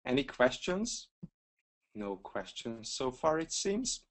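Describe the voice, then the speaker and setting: A Hungarian man walking through a software feature step by step in a webinar screencast, relaxed and talking through each click with a few fillers. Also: mid-range voice, slow. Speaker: software trainer.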